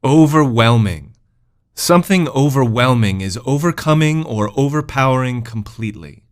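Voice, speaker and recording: A man's voice: mid-range voice, animated, normal speed. Man reading vocabulary lessons aloud. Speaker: vocabulary narrator. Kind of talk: scripted educational read-aloud.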